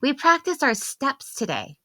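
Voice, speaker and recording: A woman's voice: sits high, wildly swooping pitch, a little slow, sharp tone, dry recording, voice pushed up, full character voice. A woman voicing an eager, chirpy little girl in an audiobook, with a childlike, pleading singsong. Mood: excited, proud. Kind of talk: audiobook character voice.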